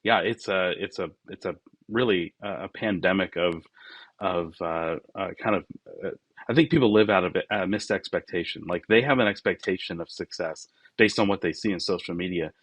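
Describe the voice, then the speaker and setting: A man of forty-nine talking casually and reflectively as an interview guest, often voicing his remembered thoughts in a halting way. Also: low-pitched, fairly bright tone, no echo. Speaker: podcast guest, business founder.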